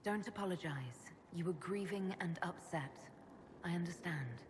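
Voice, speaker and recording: A woman acts a young female commander in a video game, steady and caring. Her slightly low voice is even and reassuring. A long hall reverb surrounds it, over faint noise. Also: normal speed, lightly acted. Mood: calm, supportive. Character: calm, caring young woman leading a military order. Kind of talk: video game cutscene acting.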